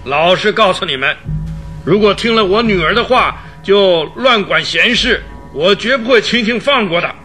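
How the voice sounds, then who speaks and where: Villain role: brutish man near sixty, bellowing and threatening, high forceful sharp voice, radio drama. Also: expressive, normal speed, soft background music. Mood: menacing. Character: huge, brutish, hot-tempered doctor near sixty.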